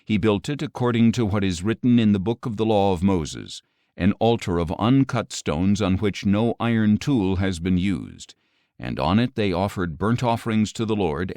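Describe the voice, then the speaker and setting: Deep-voiced man reading scripture, dry sound. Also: a little mellow, dry recording. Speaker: audiobook Bible narrator.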